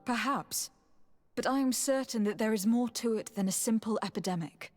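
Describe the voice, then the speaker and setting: An actress playing an earnest, determined noblewoman diplomat in a video game, speaking in a formal, measured, probing way. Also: on the high side, a little mellow, close to an everyday voice. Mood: concerned, reasoning. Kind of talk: dramatic video game cutscene acting.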